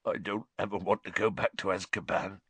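A man voicing a huge, tearful male groundskeeper who talks in a rough dialect. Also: steady tone, brisk, a bit bright, no room sound. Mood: haunted. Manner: rough dialect speech, low and mournful.

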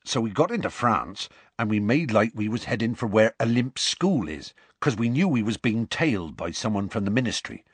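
A man voicing a huge, gruff half-giant groundskeeper who talks in a rustic dialect. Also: lowish voice, very animated, moderate pace, hardly any pauses, no room sound. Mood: storytelling.

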